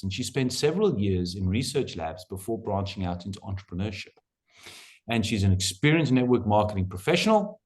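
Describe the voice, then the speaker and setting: A man's voice: mid-range voice, darkish tone. A man hosting an online coaching workshop, lecturing emphatically and repeating his key phrases.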